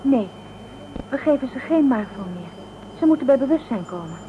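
A woman's voice: high voice, lively intonation, moderate pace, narrow, tinny audio, noisy background. An actress playing an assertive, resourceful woman on a spaceship crew in a Dutch science fiction radio drama. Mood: indignant, urgent. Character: tense, resourceful female space ferry crew member.

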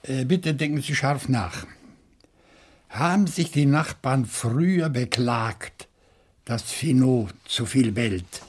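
An actor playing a bookish, lonely older French bachelor in a German radio crime play, probing and deliberate in manner. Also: male voice, mid-range voice, expressive, slightly rough. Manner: deliberate, thoughtful, with hesitant pauses.

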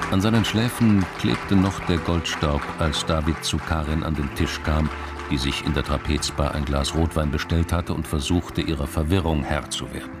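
Deep voiced narrator of a crime radio play, measured, music behind. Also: male voice. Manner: measured, descriptive. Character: male narrator of a crime story. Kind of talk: literary scripted narration.

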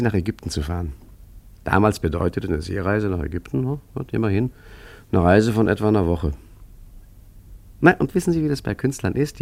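A man's voice: on the low side, gravelly, a little mellow. A man playing an urbane, boastful art forger in a radio play, chatting smugly with many asides and tag questions. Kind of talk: radio drama monologue, conversational storytelling.

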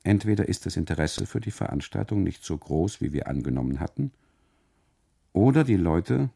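A man's voice: deep voice, expressive, normal speed, vocal fry, slightly rough, exaggerated voice. A man playing a boastful, aggrieved café custodian in a literary radio monologue, calling out emphatically and excusing himself. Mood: indignant, defensive. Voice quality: loud, calling out. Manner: emphatic, self-justifying.

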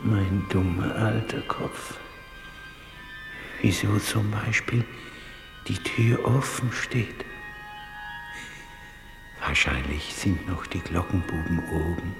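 An older man playing a timid, humble old porter in a vintage German radio play, halting and deferential. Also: low-pitched, creaky, heavily acted. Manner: halting, deferential, breaking into whispers. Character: humble, timid old messenger, poor widower. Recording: echoey vintage radio play, long reverb. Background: music.

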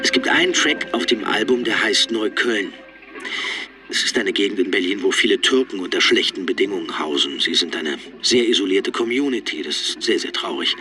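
A man voices first-person quotes for a radio documentary. His voice is fairly low with a lively, moving intonation, and music plays clearly behind it. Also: a little fast. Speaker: voice-over speaker of translated quotes.

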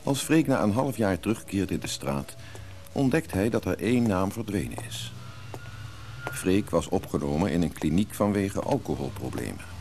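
A man's voice: low voice, lively intonation, moderate pace, a little mellow, soft background music. A man narrating a radio drama serial in a scripted, storytelling style. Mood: measured narration.

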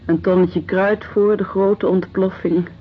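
An actress playing an inquisitive, idealistic companion in a radio drama, speaking in short, probing phrases. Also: female voice, medium pitch, steady tone, a little slow, slight background noise, close to an everyday voice. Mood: challenging. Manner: short, probing questions and firm replies. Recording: dull lo-fi radio drama recording. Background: music.

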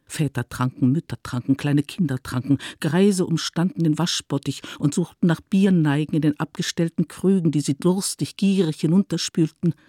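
A man's voice: on the high side, moderate pace, few pauses, mellow, no room sound, clean studio sound, close to an everyday voice. A man narrates a literary radio play with rhythmic, emphatic phrasing.

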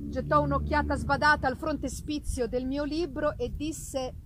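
A woman giving a dramatic, theatrical reading of literary narration, with deliberate phrasing and pauses. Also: sits high, fairly even intonation. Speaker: reader of a literary audiobook. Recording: live reading with noticeable background noise.

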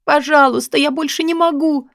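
A woman's voice: high voice, fairly even intonation, normal speed, a bit bright, no echo, studio quality, pitched above the performer's own voice. A woman voicing a terrified young woman in an audiobook drama, crying and making frantic, desperate pleas. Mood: frantic pleading.

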